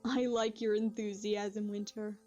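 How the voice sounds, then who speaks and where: A woman playing a gentle, concerned pony princess and wise mentor, speaking in a measured, formal, kindly way for an animated audio drama. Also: mid-range voice, close to an everyday voice. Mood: curious, kindly. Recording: slightly dull audio, faint music.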